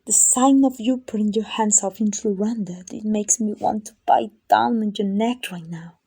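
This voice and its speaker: A woman voicing an ancient, arrogant, possessive female vampire in an ASMR roleplay, condescending and teasing, with a breathy voice that dips into whispers. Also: mid-range voice, moderate pace, mellow. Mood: eager, possessive. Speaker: amateur voice actor.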